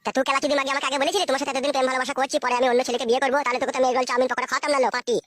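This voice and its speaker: An actor voicing a crude, pushy, foul-mouthed young man in a comic cartoon, with a pitched-up voice. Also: level tone, racing, heavy background noise. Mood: angry demanding. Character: crude, pushy, money-minded young male lover.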